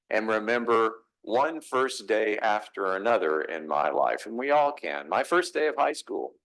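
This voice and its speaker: A man's voice: lowish voice, fairly bright tone, low-quality recording. A middle-aged man from Texas with a Texas accent, preaching a reflective, conversational sermon in a church, asking his listeners questions. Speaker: pastor. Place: church sanctuary.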